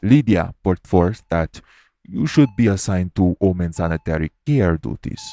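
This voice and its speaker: Man voicing a tailed creature doctor, very low pitch, dark mellow tone, measured, stern, patient, audio drama. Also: animated. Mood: stern, formal. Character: formal, patient male monster doctor with a lashing tail. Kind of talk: audio roleplay acting.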